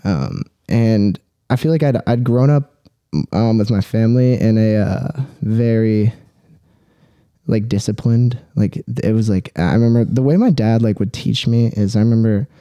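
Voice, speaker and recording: A young adult man telling personal stories casually as a podcast guest, halting and rambling, with frequent swearing. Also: on the low side, warm tone, smooth, no room sound.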